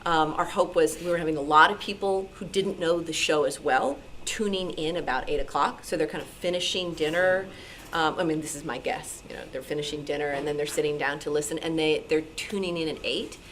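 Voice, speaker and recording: Female panelist, lowish voice, conversational and hedging, explanatory, slightly noisy meeting recording. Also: steady tone, a little fast, a bit bright. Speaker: radio programmer on a club panel. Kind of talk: explanatory talk to an audience.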